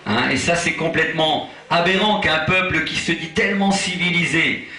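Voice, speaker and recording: A man from Portugal, an evangelist preaching at a church conference, impassioned and rising to shouts with chuckling asides. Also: fairly high pitch, normal speed. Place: large church hall. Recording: echoey hall, voice from far off.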